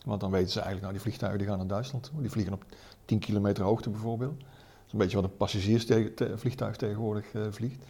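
Gravelly, dark-voiced male historian. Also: lowish voice, steady tone, fast talker. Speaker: military historian, expert interviewee. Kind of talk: conversational explanation.

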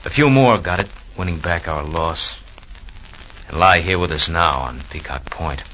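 Fallen marine, very deep bright voice, wistful old radio drama, bit of hiss. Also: male voice, a little slow. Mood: wistful, resigned. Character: dead young Marine of Wake, reflective, wry. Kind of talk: 1940s radio acting, blank verse monologue. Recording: noisy 1940s radio recording.

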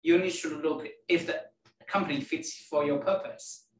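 A man from China, a native Chinese speaker, answering interview questions in halting English that repeats words. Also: a little high, steady tone, a little hiss or hum. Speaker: guest, telecom network planner. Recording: roomy, distant mic.

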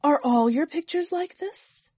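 A woman's voice: sits high, unhurried, darkish tone, no room sound, voice pushed up. A fawning servant in a storybook mansion, put on by a woman reader. The manner is eager, obsequious and reassuring, recorded dry and close. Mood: eager flattery. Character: fawning, eager servant flattering his exalted master.

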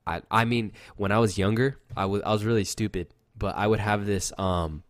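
A man's voice: on the low side, fairly even intonation, normal speed, no room sound, clean studio sound. A young man co-hosting a podcast, telling loose, rambling stories full of fillers and swearing, with a voice that sounds youthful. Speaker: podcast co-host. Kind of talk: casual chat.

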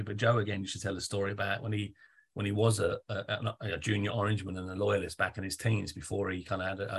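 A man's voice: on the low side, vocal fry, a bit bright, husky. A man interviewing a guest in a loose, chatty way, swearing freely. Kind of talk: casual conversational interview.

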